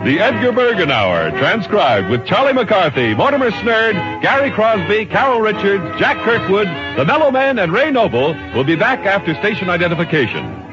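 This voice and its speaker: A man's voice: sits high, big pitch swings, moderate pace. A man announcing a 1950s radio show in a declamatory, roll-call manner. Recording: dull old radio recording. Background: music.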